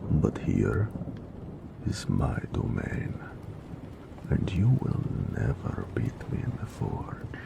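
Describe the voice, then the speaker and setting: Man voicing a very deep, gravelly orc blacksmith. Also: noisy background, full character voice. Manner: playful, flirtatious, frequent chuckling. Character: big male orc blacksmith, teasing, doting lover. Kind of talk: romantic audio roleplay acting.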